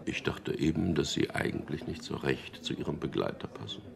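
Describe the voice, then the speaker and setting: Suave gravelly-deep sardonic man. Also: lively intonation, fairly quick, crowd noise, heavily acted. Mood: flirtatious, teasing. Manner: suave, ironic, understated. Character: sardonic charming ad copywriter and womanizer, cool, detached narrator. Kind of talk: German radio drama acting with intimate first-person narration.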